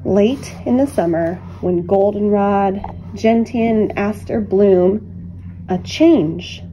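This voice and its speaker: A woman reading a children's picture book aloud with deliberate, expressive phrasing and dramatic pauses. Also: medium pitch, muffled recording, slight background noise. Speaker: children's storybook reader.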